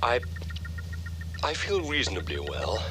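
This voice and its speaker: A man's voice: lowish voice, very animated, unhurried. A man playing a polite, fragile robot butler in a children's sci-fi puppet series, with formal, understated manners, on a very noisy old soundtrack. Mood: growing delight.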